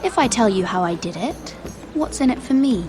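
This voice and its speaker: A woman playing a shrewd young noblewoman in a fantasy game, speaking politely and with measured care. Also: a little high, expressive, natural voice. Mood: probing, persuasive. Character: poised, shrewd young noblewoman scheming at court. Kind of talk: fantasy video game acting. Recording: music behind the dialogue.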